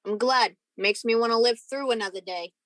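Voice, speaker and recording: A woman acts out a sardonic, defiant young man being grilled in a radio crime play. The voice sits fairly high and sounds very dry. Every line carries bitter sarcasm. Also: normal speed, no echo, close to an everyday voice. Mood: bitter defiance. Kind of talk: old-time radio crime drama acting.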